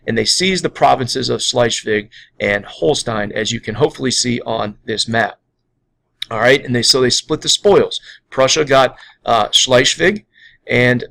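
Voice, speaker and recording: A man's voice: mid-range voice, a little slow, gravelly, fairly bright tone, poor audio. An American man teaching history in an informal, conversational lecture style, explaining step by step and checking in as he goes. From United States. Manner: conversational, explanatory, often tagging points with "okay" and "again".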